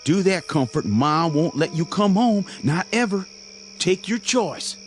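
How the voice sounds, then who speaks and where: A hot-headed rural Southern young fellow. His voice is fairly high with big swings in pitch, gruff and bossy, over clear music in a radio play. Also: lively intonation, measured pace. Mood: angry, bossy. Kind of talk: radio drama acting.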